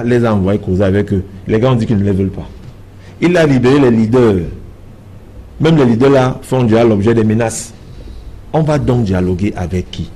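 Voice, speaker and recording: A man's voice: mid-range voice, warm tone, husky. A man with a Cameroonian French accent in a televised political debate, speaking emphatically and insistently and repeating phrases to drive his point home. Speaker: political party member as debate panelist.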